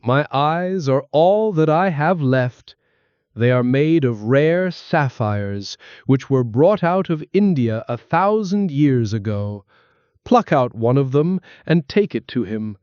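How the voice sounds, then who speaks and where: Narrator voicing a grieving statue prince, imploring, lilting pitch, slightly dull dry sound. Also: male voice, animated, takes it slowly, a little mellow, clear, resonant tone, dry recording. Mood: sorrowful. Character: sorrowful generous prince statue.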